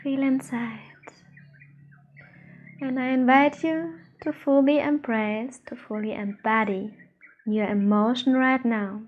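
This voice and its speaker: High-voiced slow woman guiding meditation. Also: phone-quality sound, slight background noise. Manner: soothing, unhurried, gentle instructions with pauses. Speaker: meditation teacher, channel host. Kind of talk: guided meditation.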